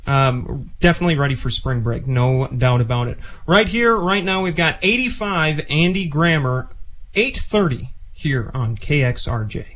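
A man's voice: a little high, expressive, moderate pace, background noise. A young man presenting as a campus radio DJ between songs in a casual, chatty way. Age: young adult. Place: small campus radio studio, indoors. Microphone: close studio microphone, headset monitors.

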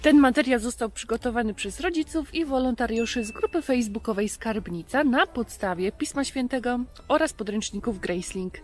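A woman with a fairly high voice talks to children as a programme host. There is music behind her. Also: lively intonation, a little fast, few pauses.

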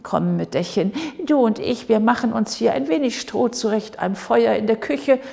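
A woman voicing a kindly, humble, pious old man in a fairy tale, gentle and deferential. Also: a little high, moderate pace, vocal fry. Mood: kindly, welcoming. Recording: echoey hall with long reverb.

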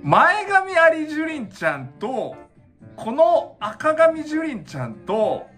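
A young adult man giving casual reaction commentary, excitable and often exclaiming in awe, recorded indoors in a small room. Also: extremely high pitch, unhurried, soft background music. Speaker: dance reaction channel host.

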